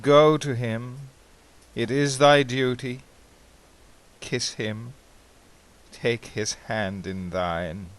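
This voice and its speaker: A man giving a theatrical, impassioned recitation of poetry, swinging between hushed and ringing delivery. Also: mid-range voice, steady tone, very slow, pauses often, no room sound, rough recording. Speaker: amateur poetry reader.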